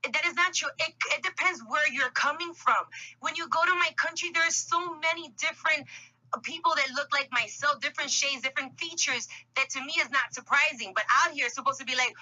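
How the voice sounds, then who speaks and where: A woman's voice: high voice, a little fast, sharp tone, dull audio. A woman from Miami speaking earnestly and passionately as a guest in a radio interview. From Miami, USA. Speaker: guest artist.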